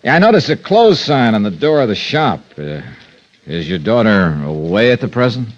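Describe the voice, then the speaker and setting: An actor playing a wry, cool-headed investigator in a 1950s radio crime drama. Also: male voice, fairly low pitch, big pitch swings, normal speed. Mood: friendly, probing. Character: hard-boiled, wry, cool-headed male insurance investigator. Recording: muffled old radio recording, music underneath.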